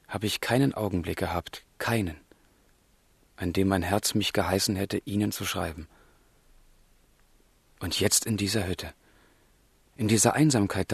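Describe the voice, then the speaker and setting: An actor playing a melancholy, sensitive young gentleman in a literary radio drama, with a husky, gravelly voice and a fervent, brooding delivery. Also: on the low side, dry recording.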